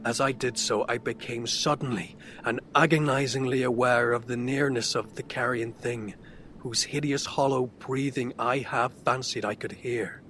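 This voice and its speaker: A man's voice: mid-range voice, steady tone, normal speed, slight background noise, natural voice. A man playing a tormented, reclusive outcast in a horror audio drama, narrating in an ornate, theatrical and intense manner. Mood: agonized dread. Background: dark fantasy ambience music.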